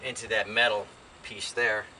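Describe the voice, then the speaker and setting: A man's voice: lowish voice, level tone, normal speed. An older American man chatting casually in a folksy, rambling way as he talks to himself while doing a hands-on job. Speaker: DIY vlogger. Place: inside open cargo van beside a garage, outdoors. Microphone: camera a few feet away. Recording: distant camera mic, loud noise, clicks.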